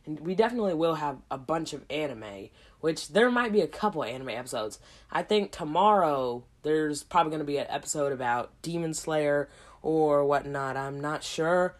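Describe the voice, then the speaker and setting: A woman hosting a casual solo podcast, hoarse from a cold, rambling in a slangy way with lots of asides. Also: low-pitched, lively intonation, normal speed. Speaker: podcast host.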